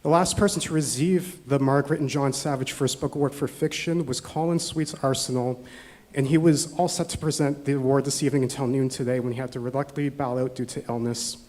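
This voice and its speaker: A man's voice: mid-range voice, fairly even intonation, brisk, darkish tone. Man, Canadian accent, awards ceremony host, reads steadily from notes. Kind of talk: scripted ceremony remarks.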